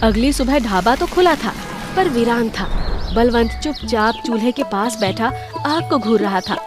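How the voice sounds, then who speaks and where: A woman's voice: on the high side, animated, normal speed. A woman reading scripted dramatic narration for a cartoon story. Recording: music under the voice.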